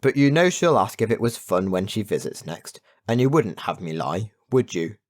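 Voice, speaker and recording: A man's voice: lowish voice, very animated, moderate pace. A man voicing a distracted, mildly coaxing father in a children's audiobook, with an offhand manner.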